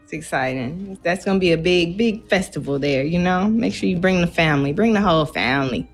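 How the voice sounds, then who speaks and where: A woman co-hosting a radio show with casual, playful banter, reacting and teasing with drawn-out interjections. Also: on the low side, animated. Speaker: radio co-host. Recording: music bed under the voice. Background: music.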